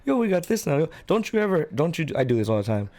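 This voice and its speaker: A man's voice: a little high, very animated, quick pace, a little mellow. A man in his twenties talking as a guest in a casual podcast chat, laid-back and slangy, saying "bro" and "like" a lot. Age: 20s.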